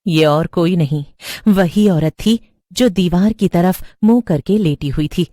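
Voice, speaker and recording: A woman's voice: lowish voice, measured pace, warm tone, no room sound. A woman reading a scripted horror story as its narrator, recorded close up.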